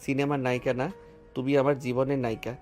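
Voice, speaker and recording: A man voicing a devoted, earnest young lover with a tender, even delivery in a romantic audio drama. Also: medium pitch, fast, faint music behind, lightly acted. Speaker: voice actor.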